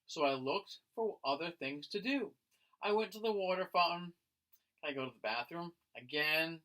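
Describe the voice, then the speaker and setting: A man reading a children's picture book aloud like a teacher, in an expressive, dramatic manner. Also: fairly high pitch, moderate pace, low-quality recording. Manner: dramatic, acting out the lines.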